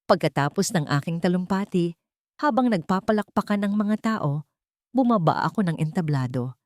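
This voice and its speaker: A woman's voice: lowish voice, animated, moderate pace, darkish tone, no room sound, studio quality. A woman with a Filipino accent reading a scripted, dramatic first-person story as an audiobook narrator.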